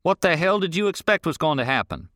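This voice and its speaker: A gruff, blunt older rancher with a drawl, pitched well above the reader's own low voice, as a man puts him on. He talks loud and exasperated, in a dry, close storybook reading. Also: big pitch swings, fairly quick, no echo.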